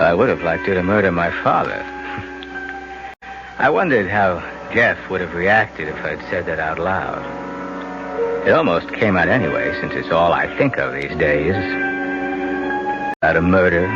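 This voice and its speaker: Deep, dark young man with murder on his mind; dull radio sound, music up loud. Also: unhurried, pauses often. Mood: brooding. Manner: low, dark and brooding. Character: brooding young man plotting to kill his father. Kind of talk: 1970s radio drama acting.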